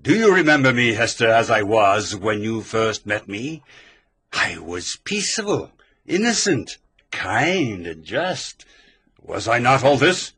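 Vengeful old physician, slow and sharp-toned, swinging pitch. Male actor. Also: very animated, husky, no echo, clean studio sound, heavily acted. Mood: bitter. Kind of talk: audio drama acting.

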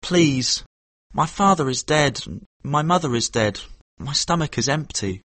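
A cheeky young street boy from a storybook, voiced by a man, with a husky edge. He talks in lively swings, eager and wheedling. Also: medium pitch, moderate pace, no room sound. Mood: pleading. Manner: eager, pleading, persuasive.

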